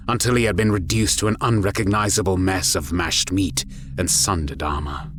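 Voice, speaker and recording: A man narrating a scripted story in a grave, measured, dramatic manner. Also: deep voice, fairly bright tone, slight background noise. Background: music.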